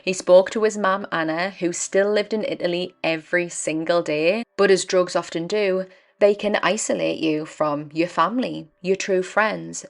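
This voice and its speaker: A young woman narrates a true crime case in an earnest, measured way, stressing her words. Her voice is croaky and dry from a cold, with a gravelly edge. It sits on the low side for a woman. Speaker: true crime podcast host.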